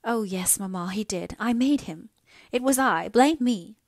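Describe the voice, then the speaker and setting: A woman's voice: mid-range voice, a little slow, no room sound, studio quality, close to an everyday voice. A woman acting an earnest, pleading young daughter. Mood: confessing. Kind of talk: dramatised audiobook dialogue.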